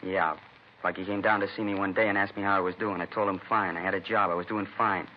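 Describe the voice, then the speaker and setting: A man acts out a sullen American teenage street kid in an old radio drama. He talks fast and slangy, dodging questions in grudging bursts. The recording is dull, with clear hiss and noise. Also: sits low, steady tone, lightly acted. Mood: evasive, defensive. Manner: slangy, evasive, grudging short answers. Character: evasive seventeen-year-old male delinquent, streetwise and sullen. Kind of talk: 1950s radio drama acting.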